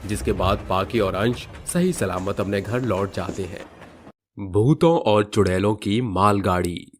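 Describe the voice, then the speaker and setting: A man's voice: fairly low pitch, unhurried, slight background noise. A man delivering dramatic scripted narration for a cartoon horror story.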